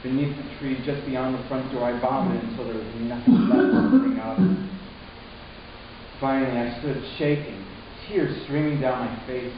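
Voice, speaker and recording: A man playing a troubled, horrified young man, narrating his ordeal solemnly and deliberately in a dramatic reading. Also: medium pitch, muffled recording, natural voice. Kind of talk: scripted first-person narration, dramatic reading. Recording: echoey room from far off, hissy.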